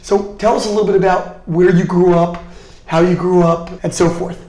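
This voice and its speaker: A man hosting a podcast interview in a chatty, curious, casual way, quick to react to what he hears. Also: high voice, fairly even intonation, a little mellow, roomy sound, poor audio. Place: indoor office in a parliament building.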